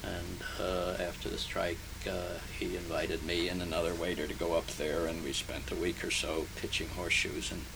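An older man born in Hungary and raised in New Jersey, recalling his early life in an oral history interview, slowly and haltingly, with many pauses and fillers. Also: sits low, level tone, creaky. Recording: noisy archival tape recording.

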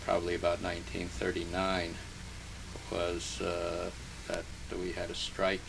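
An older man born in Hungary and raised in New Jersey, recalling his early life in an oral history interview, slowly and haltingly, with many pauses and fillers. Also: low-pitched, completely flat delivery, gravelly. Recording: noisy archival tape recording.

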